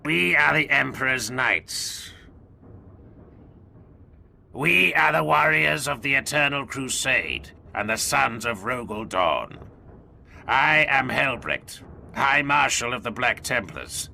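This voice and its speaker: A man voicing a proud, solemn space-marine high marshal, ceremonial and declamatory, in a dramatic war story. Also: animated, slow, creaky, bright, forceful tone, exaggerated voice. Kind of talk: dramatic audiobook character acting.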